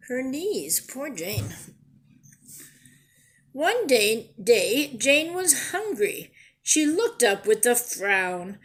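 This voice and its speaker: Slow-paced woman reading to young children. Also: high-pitched, fairly bright tone. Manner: pauses to ask simple questions and explain words. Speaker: children's storyteller and teacher. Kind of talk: read-aloud of a picture book for young children.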